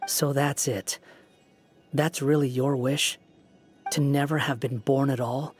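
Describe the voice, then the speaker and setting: Game role: brooding, jaded dragon male performer, mid-pitched, drawn-out sighing delivery. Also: fairly even intonation, faint music behind, lightly acted. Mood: somber, sympathetic. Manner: very slow, sighing, world-weary. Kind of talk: dramatic game voice acting.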